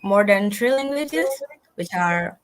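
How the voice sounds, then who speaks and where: Halting young Indonesian woman on a video call. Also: mid-range voice, animated. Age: young adult. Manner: halting English, frequent "like" and "um" fillers. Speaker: guest student. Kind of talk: casual online interview.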